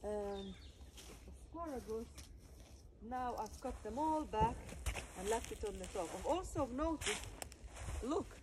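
A woman's voice: on the high side, expressive, measured pace, long pauses, noisy background. Chatty woman touring her garden outdoors. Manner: chatty, pointing things out as she walks. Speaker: garden vlogger. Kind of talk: casual garden tour walkthrough.

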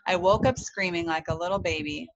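A woman's voice: on the low side, fairly quick, lightly acted. Casting: sensitive young storybook calf, a boy, moody and earnest played by a woman, lo-fi recording with hiss. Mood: upset, earnest. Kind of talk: storybook read-aloud.

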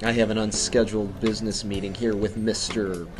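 An actor playing a dry, defiant speakeasy owner in an old-time radio drama. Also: male voice, on the low side, flat delivery, brisk, music in the background, lightly acted. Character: stubborn speakeasy owner, grown man, wry.

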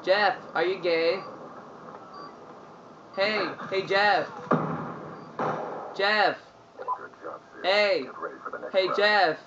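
A man trolling over game voice chat in a put-on squeaky, whiny, childish voice. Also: fairly even intonation, very slow, long pauses, highs cut off, noisy background. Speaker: gamer trolling in online voice chat. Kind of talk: prank voice chat during online shooter.

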